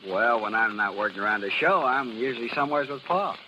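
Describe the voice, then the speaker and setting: An actor playing a shy, green young farm lad at a carnival, folksy and bashful, with an American accent, in 1930s radio style. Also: male voice, medium pitch, expressive, fast, bright, forceful tone. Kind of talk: 1930s radio drama acting. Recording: dull, noisy 1930s radio recording.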